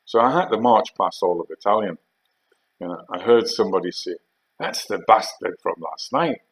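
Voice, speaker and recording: A man's voice: on the low side, lively intonation, rough recording. An older man with a Geordie accent, an army veteran telling rambling war stories in a casual, hesitant way. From Northeast England. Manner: unhurried, rambling, hesitant with fillers. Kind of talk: casual anecdotal storytelling.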